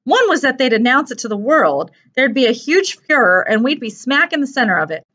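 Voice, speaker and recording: Woman acting teenage boy, nervous, earnest, awkward, raised pitch, audiobook character, slightly muffled recording. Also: big pitch swings, fairly quick, a bit bright. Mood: earnest, wondering. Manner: anxious, stammering when upset.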